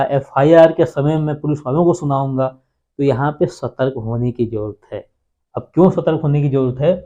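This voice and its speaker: Guy explaining law to viewers, dark mellow tone, muffled lo-fi audio, keeps asking n answering himself. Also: fairly high pitch. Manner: repetitive, asks and answers his own questions. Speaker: legal explainer on a channel. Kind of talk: informal explainer lesson to viewers. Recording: dull lo-fi sound.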